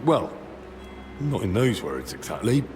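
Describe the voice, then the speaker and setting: An actor playing a plain-spoken, persistent young adventurer in a video game, with a frank, wry and informal manner. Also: male voice, fairly low pitch, very animated, a little slow, darkish tone. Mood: probing. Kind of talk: video game cutscene acting. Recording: reverberant, clear music behind.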